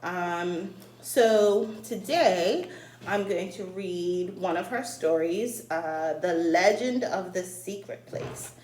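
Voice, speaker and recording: A woman's voice: lowish voice, takes it slowly. Woman reading a children's story, echoey room. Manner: expressive, addresses young listeners directly. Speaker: story reader, teacher-like host. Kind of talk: read-aloud storytelling for children. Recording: roomy echo, faint background noise.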